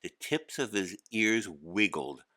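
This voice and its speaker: A man giving an expressive, scripted read-aloud narration of a children's story. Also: fairly low pitch, takes it slowly.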